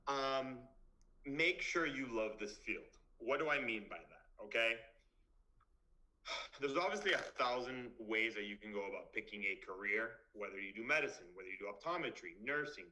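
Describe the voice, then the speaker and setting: A young man in his twenties, a dentist from Miami, talks to students over a computer mic on a video call. His voice is bright and forceful. He is blunt and straightforward, with plenty of casual fillers. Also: lowish voice, poor audio. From Miami, Florida. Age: 20s. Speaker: dentist giving a talk. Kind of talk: online presentation to students.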